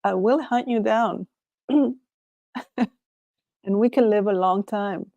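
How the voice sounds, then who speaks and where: A woman's voice: mid-range voice, steady tone, slow pace, mellow, no echo, lo-fi sound. Chilean-accented woman musing on a livestream. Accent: Chilean Spanish. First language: Spanish. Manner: musing, rambling, laughs often, trails off and restarts. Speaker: livestream host. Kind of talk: casual solo livestream chat.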